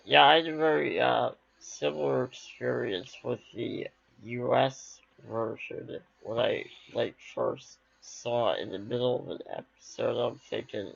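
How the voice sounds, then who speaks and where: A man's voice: medium pitch, a little slow, gravelly, fairly bright tone, husky, dry recording. A man interviewing a guest, asking hesitant questions full of fillers. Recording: slightly dull lo-fi mic.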